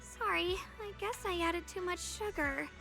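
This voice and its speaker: A gentle young swordswoman from an anime-style game. Her voice is extremely high and sweet, and she speaks with polite, soft-mannered earnestness. Faint music plays behind her. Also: measured pace, creaky, heavily acted. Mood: sweet, nostalgic.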